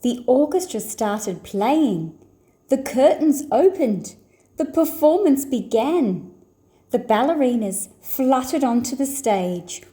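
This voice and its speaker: A woman storyteller reading a children's picture book aloud in an expressive, deliberate way. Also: sits high.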